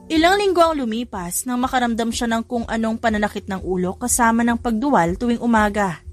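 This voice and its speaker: A woman reading a scripted story dramatically, as an audiobook narrator. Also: a little high. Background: background music.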